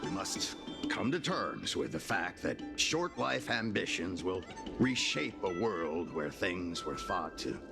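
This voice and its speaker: A man's voice: low voice, sing-song, slow pace, gravelly, exaggerated voice. An actor playing a stern, stubborn old craftsman and father in scripted game dialogue, grumbling and hesitant. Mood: resigned, somber. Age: older. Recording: game music behind the voice.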